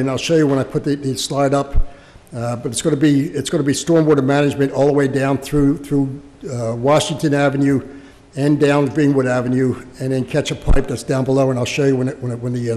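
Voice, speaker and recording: An American man presenting a project at a public meeting as a local official, speaking off the cuff in a halting way and repeating words. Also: mid-range voice, darkish tone. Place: indoor township meeting room. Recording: reverberant meeting hall.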